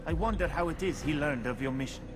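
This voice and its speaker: A man acting as a formal, cautious guild informant in a game. His slightly high voice is measured and earnest, with loud music behind. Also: lightly acted. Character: courteous, cautious male informant of an assassins' order. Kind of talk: video game drama acting.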